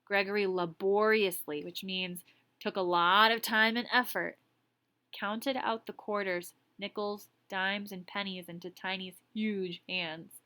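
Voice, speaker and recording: A woman teacher reading a story aloud expressively, pausing to explain words in a casual way. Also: medium pitch, steady tone, sharp tone, smooth.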